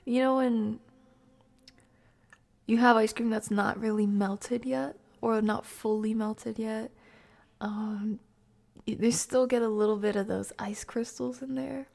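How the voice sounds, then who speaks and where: Girl streamer rambling to chat, kinda high voice w lots of vocal fry. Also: steady tone, takes it slowly, pauses often, a little mellow. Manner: rambling, chatty, informal. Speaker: live streamer hosting a stream. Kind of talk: casual livestream chat with viewers, reading comments.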